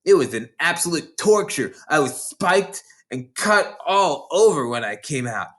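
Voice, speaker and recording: A man's voice: on the high side, very animated, unhurried, fairly bright tone, pitched above the performer's own voice. A man voicing a boastful, battle-hardened schoolgirl prankster who tells dramatic war stories from a children's storybook. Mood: indignant, dramatic.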